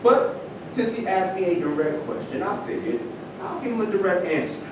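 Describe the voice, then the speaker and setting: A man's voice: animated, normal speed. A man playing a proud, indignant former football star telling his story in a staged reading. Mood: storytelling, resentful. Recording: echoey hall, distant mic, rumbling noise.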